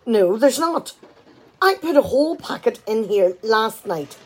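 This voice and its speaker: An actress playing an exasperated, sarcastic wife in a radio play comedy, talkative and full of indignant questions. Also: female voice, a little high, big pitch swings, measured pace, a little mellow, poor audio.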